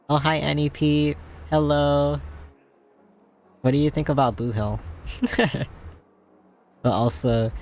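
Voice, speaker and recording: A man chatting casually with viewers on a live game stream, his voice hoarse and scratchy, laughing often between sentences. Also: medium pitch, a little mellow. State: hoarse, voice giving out.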